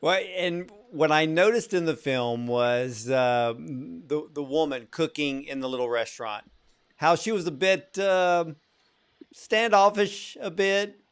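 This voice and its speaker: Male interviewer, earnest, keen, voice a bit higher than most men. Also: slow pace, clear, resonant tone. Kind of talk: interview questions.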